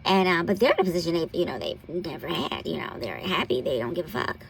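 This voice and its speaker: A woman's voice: lowish voice, big pitch swings, fast, vocal fry, a little hiss or hum. An American woman hosting an informal health commentary, giving candid advice in a blunt, salty, folksy way. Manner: blunt, profanity-laced, folksy terms of address. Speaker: health commentary host and nurse practitioner.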